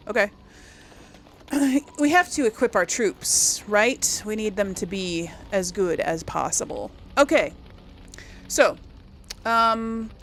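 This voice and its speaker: Chatty gravelly-edged woman gamer. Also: mid-range voice, fairly bright tone, a little hiss or hum. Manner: chatty, thinking aloud, addressing viewers. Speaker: gaming channel host. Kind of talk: casual let's play commentary.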